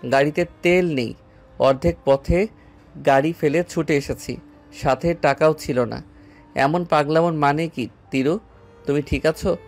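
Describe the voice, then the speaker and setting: A male actor plays an exasperated young man in a romantic audio drama. He speaks in a slightly high male voice with a stern, reproachful, agitated edge born of worry. Soft music runs behind him. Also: measured pace, pauses often. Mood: agitated, worried. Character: worried, exasperated young man scolding a woman he cares about. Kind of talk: audio story dialogue acting. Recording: music under the voice. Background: background music.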